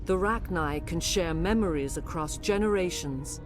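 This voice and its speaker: A regal alien matriarch played by a woman speaks in a low, solemn voice over prominent music. She is formal and measured, a once-enslaved mind heavy with remorse, in a dramatic game scene. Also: close to an everyday voice. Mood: solemn, remorseful. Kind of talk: dramatic video game acting.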